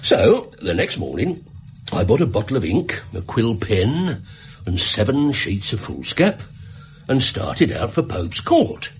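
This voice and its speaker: A man's voice: fairly low pitch, sing-song, unhurried. An actor playing a talkative, gullible, flustered tradesman in a radio drama, rambling and hesitant as he tells his story. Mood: earnest recounting.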